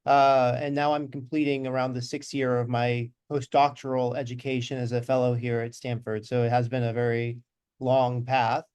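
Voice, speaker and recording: Man in his thirties explaining calmly. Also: mid-range voice, clear, resonant tone, dry recording. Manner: measured, explanatory, with fillers. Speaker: physician guest. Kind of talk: interview.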